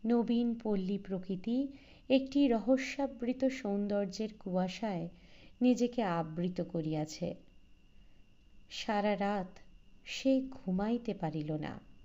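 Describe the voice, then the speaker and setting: A woman narrates a literary story at a slow, measured pace. Her voice is mellow and mid-pitched, with expressive phrasing. The recording is slightly dull. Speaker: audiobook narrator.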